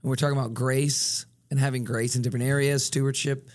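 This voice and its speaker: A man co-hosting a church podcast, relaxed and joking, his voice a little raspy today. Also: medium pitch, fairly quick. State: slightly raspy voice. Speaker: podcast co-host. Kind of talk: casual podcast chat.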